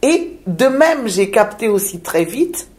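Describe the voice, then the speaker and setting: Slow, hesitant woman from Madagascar. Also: on the high side, sing-song, darkish tone. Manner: slow, searching, with frequent hesitations and repeated words. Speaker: interview guest, shaman. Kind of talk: reflective spiritual interview talk.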